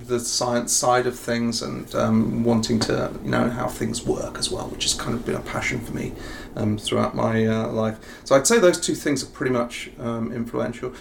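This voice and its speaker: A man's voice: medium pitch, steady tone, normal speed, creaky. A middle-aged man with a southern English accent, answering interview questions in a rambling way with many ums and self-corrections. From England. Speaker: professor and executive guest. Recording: faint ambient noise.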